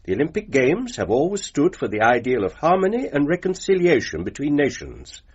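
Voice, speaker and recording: An actor playing a stately official reading a formal letter in a grave, declamatory way, with a husky voice. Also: male voice, on the high side, huge pitch swings, fairly quick, heavily acted. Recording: dull radio sound.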